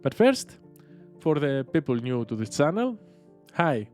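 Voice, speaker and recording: A man's voice: fairly high pitch, sing-song, a little mellow, soft background music. Greek-accented man hosting a solo podcast. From Greece. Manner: reflective, with frequent filler pauses. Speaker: podcast host.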